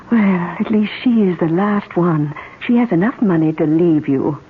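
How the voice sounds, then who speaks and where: An actress playing a cold, possessive murderess in an old-time radio drama. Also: female voice, fairly low pitch, slow pace, slightly rough, heavily acted. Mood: sly, coaxing. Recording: noisy, tinny vintage radio recording.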